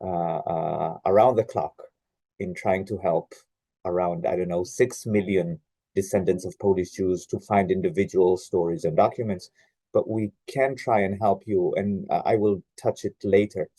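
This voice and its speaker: A man from Israel, a genealogy researcher, giving an online presentation with many pauses and fillers. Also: lowish voice, warm tone, husky, no echo, low-quality recording. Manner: hesitant, many "uh" fillers and restarts. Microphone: video call.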